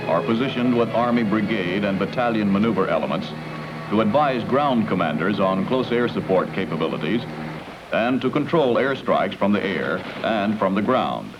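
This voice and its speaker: A man's voice: on the low side, animated, moderate pace, music in the background. A man reading scripted 1960s military documentary narration in a formal, authoritative way. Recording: dull, noisy vintage film soundtrack.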